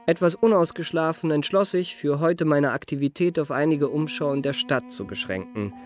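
Actor as polished, courteous young gentleman impostor. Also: a little high, moderate pace, lightly acted. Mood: pleased. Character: eager, well-mannered young male impostor posing as a marquis. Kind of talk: German radio play acting and narration. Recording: radio play with faint music.

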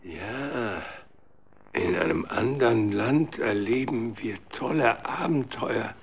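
An actor playing a boastful, adventure-hungry count in a children's radio drama, grandiose in manner. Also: male voice, medium pitch, animated, takes it slowly, vocal fry. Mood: dreamy, boastful.

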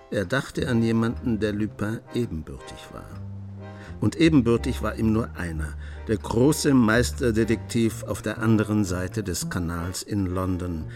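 A writer telling a radio mystery. His lowish voice is intimate and dramatic, with savoured pauses, set over clearly audible music. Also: a little mellow. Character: male writer-narrator, absorbed admirer of a gentleman thief. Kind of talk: radio play narration.

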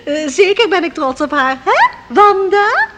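A woman's voice: very high voice, big pitch swings, a little slow, very noisy, exaggerated voice. An actress playing a flustered, stammering mother in a radio drama. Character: anxious, flustered proud mother of a girl.

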